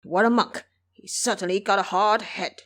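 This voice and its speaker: A woman's voice: medium pitch, steady tone, normal speed, a bit bright, lightly acted. A woman voicing menacing, pompous male bandits who bluster and threaten in an audio drama. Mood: indignant.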